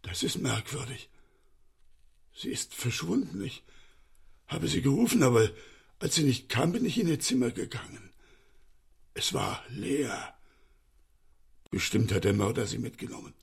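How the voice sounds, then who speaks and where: An older man playing a distraught, deferential elderly manservant in a radio crime comedy, speaking in an agitated, halting way. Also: medium pitch, very animated, creaky, husky, heavily acted. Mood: bewildered, sorrowful.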